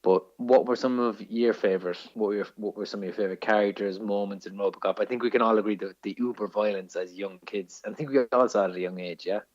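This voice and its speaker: A man's voice: on the low side, fairly even intonation, quick pace, few pauses, a little mellow. An Irish man co-hosting a casual film podcast, talking like an enthusiastic, sweary film geek explaining things. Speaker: podcast co-host. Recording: dull lo-fi podcast audio.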